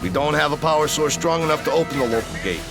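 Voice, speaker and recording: Wry gravelly military major. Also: male voice, fairly high pitch, animated, fast talker. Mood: guarded, dry. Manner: dry, sardonic, informal. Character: wry, confident military major, adult man. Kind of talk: sci-fi game voice acting. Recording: music behind the voice.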